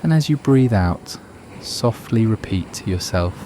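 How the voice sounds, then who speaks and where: A man narrating a guided meditation in a soothing, unhurried, measured manner. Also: fairly low pitch, animated, dark tone, a little hiss or hum.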